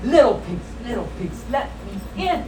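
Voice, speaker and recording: A woman's voice: fairly high pitch, sing-song, normal speed. Woman reading condescending big bad wolf, echoey room. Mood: demanding. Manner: condescending, theatrical. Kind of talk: live comic storybook reading. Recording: distant echoey room recording with background noise.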